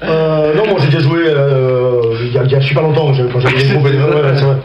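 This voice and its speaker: Fast slangy musician dude rambling on the radio, dull lo-fi sound, bit of hiss. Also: male voice, mid-range voice, fairly even intonation. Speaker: guest musician. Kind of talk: casual radio interview.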